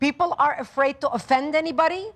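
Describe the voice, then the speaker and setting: A woman's voice: sits high, racing. A woman from Lebanon, speaking as a guest in a TV studio interview, with passionate, emphatic lists. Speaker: guest author.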